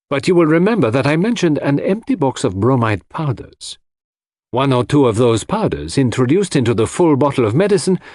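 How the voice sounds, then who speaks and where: A man voicing a self-assured detective who presents his deductions with impressive, deliberate flourish in an audiobook. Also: mid-range voice, a little mellow, no echo, clean studio sound, voice pushed up. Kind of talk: audiobook character dialogue.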